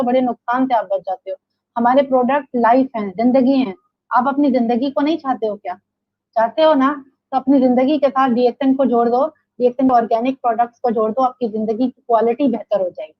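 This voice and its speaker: A woman's voice: high-pitched, no echo, muffled recording. A Pakistani woman speaking as an interview guest in an enthusiastic, motivational testimonial style, emphatic and repeating phrases for effect. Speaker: interview guest, direct-sales leader.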